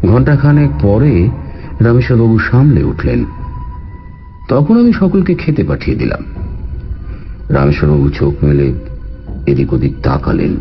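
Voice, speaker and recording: A low-voiced family doctor in a radio drama, confiding a household's troubles with very wide swings of intonation. The audio is slightly dull, with soft music underneath. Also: male voice, huge pitch swings, measured pace, pauses often, heavily acted. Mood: measured, grave. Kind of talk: Bengali radio drama dialogue.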